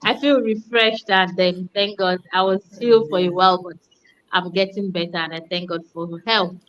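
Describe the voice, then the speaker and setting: A woman's voice: lowish voice, fairly even intonation, normal speed, fairly bright tone. A cheerful woman from Nigeria introducing herself on a video call, laughing easily and sounding thankful. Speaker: guest mentor. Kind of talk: livestream self-introduction. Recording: slightly dull audio.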